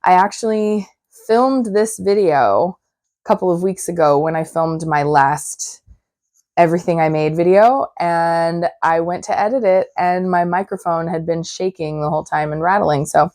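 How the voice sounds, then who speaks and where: A woman hosting her own crafting channel, chatting casually to camera while her voice is still hoarse and a bit froggy. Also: fairly low pitch, moderate pace, a little mellow, dry recording, studio quality. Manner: chatty and upbeat. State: hoarse, recovering voice. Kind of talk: casual vlog talk to camera.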